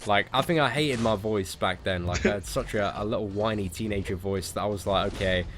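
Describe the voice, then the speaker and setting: A man's voice: lowish voice, fairly quick, a bit bright, faint music behind. A British man hosting a gaming livestream, chatting casually with friendly banter and lots of filler words. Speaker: livestream host playing a mobile game. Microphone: desk microphone.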